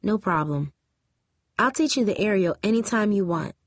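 A woman's voice: mid-range voice, animated, normal speed, no room sound, clean studio sound, natural voice. A woman voicing a bold, defiant teenage cheerleader in a story, outspoken and challenging. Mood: bold, friendly.

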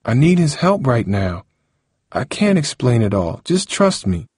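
An earnest teenage boy in an audiobook, acted out by a male narrator. He sounds defensive and pleading, with a pitch lifted above the man's usual voice. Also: very animated, unhurried, darkish tone, breathy edge, no echo, clean studio sound. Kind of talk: audiobook character dialogue.

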